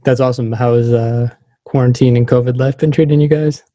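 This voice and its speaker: A man's voice: mid-range voice, steady tone, brisk, warm tone, no room sound. A man hosting a podcast, asking questions in a casual, chatty interview style.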